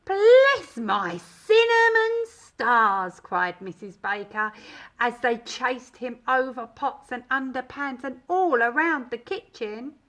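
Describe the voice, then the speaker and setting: Female children's storyteller: high voice, emphatic and dramatic, unhurried delivery. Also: bright, forceful tone. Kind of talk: expressive children's storybook reading.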